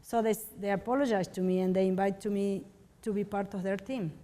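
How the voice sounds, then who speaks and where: A woman sculptor tells stories casually in a halting, word-searching way. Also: mid-range voice, steady tone, mellow, long reverb tail. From Argentina. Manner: rambling, halting, searching for words. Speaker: stone sculptor. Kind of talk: casual interview, storytelling.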